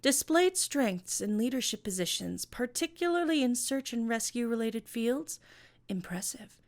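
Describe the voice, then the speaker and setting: A woman's voice: a little high, expressive, measured pace, voice pushed up. Lady doing tough young prison boss girl, smirky, curt, menacing, story reading voice. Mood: cool, appraising. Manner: curt, cool, taunting.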